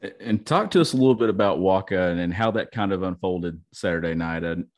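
A man's voice: low voice, brisk. A man interviewing a guest, asking loose, searching questions with restarts.

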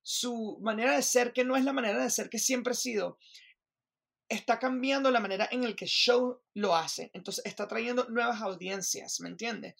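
A woman from Venezuela with a Venezuelan accent, talking as a guest in an interview in an animated way, restarting sentences and checking in with the listener. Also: a little high, creaky, slightly rough. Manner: animated, restarts, keeps asking "me entiendes". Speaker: Broadway performer, guest. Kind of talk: interview conversation.

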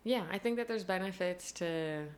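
A woman's voice: on the low side, moderate pace, a little mellow. A young woman being interviewed as a guest, chatty and casual, with plenty of fillers and frequent laughs. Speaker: costume designer, guest.

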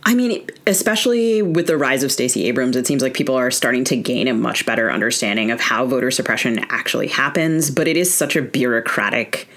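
A woman's voice: rumbling low, brisk, hardly any pauses, fairly bright tone. A woman hosting a conversational interview, talking in a thoughtful, tentative way. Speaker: podcast host.

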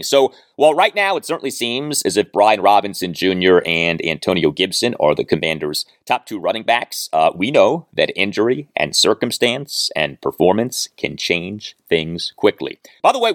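A man hosting a sports podcast, introducing and questioning with emphatic, deliberate phrasing. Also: low voice, big pitch swings, fairly bright tone, no room sound, studio quality. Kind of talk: scripted introduction and interview questions.

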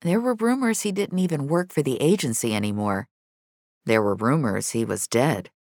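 A woman's voice: extremely low pitch, animated, normal speed, darkish tone, no room sound, clean studio sound. A woman reading scripted fiction as a dramatic narrator. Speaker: narrator and reader of an audio fiction episode.

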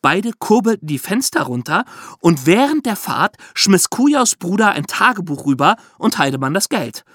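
A man with a fairly high voice and very lively intonation tells history like a story to a friend. He explains as a podcast host in an engaged, conversational way, recorded close and dry. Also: moderate pace, no echo.

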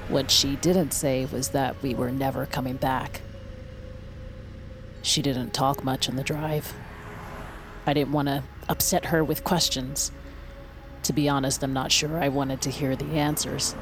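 An actress playing a woman who grimly looks back on her haunted childhood, narrating in a measured, somber way. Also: very deep voice, background noise. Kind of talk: scripted first-person drama narration.

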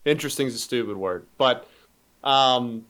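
A man's voice: mid-range voice, normal speed, a bit bright. A man in his early twenties co-hosting a podcast, talking casually with many restarts and fillers. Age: 20s. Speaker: podcast co-host. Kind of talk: casual chat.

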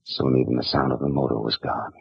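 A world-weary private eye tells his story in a dry, laconic voice. His voice is very deep and husky. The old radio recording sounds dull and dry. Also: steady tone, quick pace, no echo, full character voice. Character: hard-boiled male private eye, world-weary, wry. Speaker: private detective narrator. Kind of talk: 1950s radio drama acting.

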